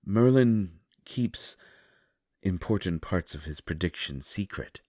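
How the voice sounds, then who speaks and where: A man playing a gentle, solemn otherworldly seer in an audio roleplay, speaking in measured, formal phrases with sorrowful reassurance. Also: low-pitched, lively intonation, no echo, clean studio sound. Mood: apologetic, soothing. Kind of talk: scripted audio roleplay, comforting.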